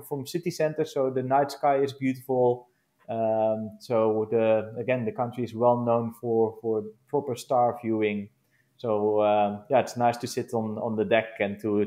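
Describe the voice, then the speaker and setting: A man with a Dutch accent, a glamping business founder answering interview questions in a casual, hesitant way, often pausing to find words. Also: lowish voice, warm tone. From Netherlands. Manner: hesitant, searching for words with many pauses.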